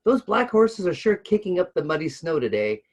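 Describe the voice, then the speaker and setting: Man reading as a dreamy young girl, pitched up. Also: very animated, normal speed, heavily acted. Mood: excited excuses. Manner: eager, exclaiming, guiltily excusing herself. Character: young girl seamstress, dreamy, sheepish, easily distracted.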